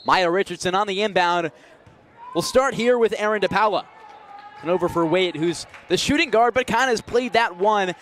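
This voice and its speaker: High-pitched young man calling live basketball. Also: normal speed, slight background noise. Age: young adult. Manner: energetic, descriptive call of the action. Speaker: play-by-play radio announcer. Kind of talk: live basketball radio commentary. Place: college basketball arena, live courtside call.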